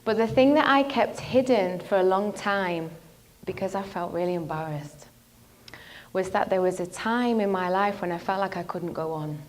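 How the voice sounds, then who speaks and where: A woman's voice: medium pitch, normal speed, slight background noise. A woman relationship coach giving a motivational talk to an audience, telling a personal story and asking the crowd questions. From not from the local area; lives in London.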